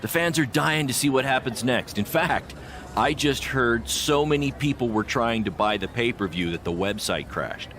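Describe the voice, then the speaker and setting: An actor as a confident male wrestling promoter with a medium-pitched voice. He pitches like a persuasive small-time boss in a video game, with faint noise behind him. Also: expressive, normal speed, a bit bright, close to an everyday voice. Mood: brisk. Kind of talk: scripted game dialogue.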